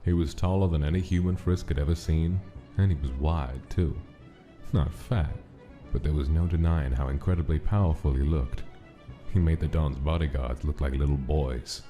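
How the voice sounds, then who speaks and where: A man's voice: a bass voice, moderate pace, warm tone. A man reading scripted story narration as the narrator of a drama. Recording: music clearly under the narration.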